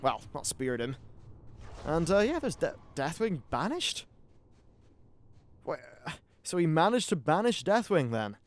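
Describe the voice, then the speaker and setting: A man's voice: on the high side, big pitch swings, unhurried, long pauses. A man hosting a gaming video with casual commentary and wry, sweary asides.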